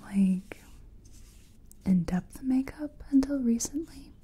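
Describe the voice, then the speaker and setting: A woman playing a gentle, chatty makeup competition contestant in a soft-spoken, whispery ASMR personal attention role-play. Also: sits high, animated, exaggerated voice. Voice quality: breathy, whispery. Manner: gentle, unhurried, chatty, reassuring. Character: friendly, self-taught makeup competition contestant.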